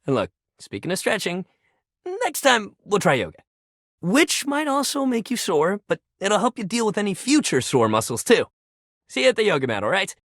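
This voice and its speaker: An upbeat, supportive male fitness buddy for a video game. His voice sits fairly high and swings wildly in pitch. He talks in casual bro slang, peppy and encouraging, in a dry recording. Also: big pitch swings, moderate pace, fairly bright tone, no echo, exaggerated voice. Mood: caring, encouraging. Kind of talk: video game character voice lines.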